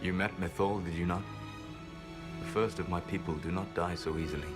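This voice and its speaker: A proud, secretive ancient elf mage, voiced by a man speaks slowly and gravely, every word weighed. His voice sits very low, and a swelling game score plays behind him. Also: fairly even intonation, lightly acted. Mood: solemn. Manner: measured, grave, quietly regretful. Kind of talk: video game voice acting. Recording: game audio with music score.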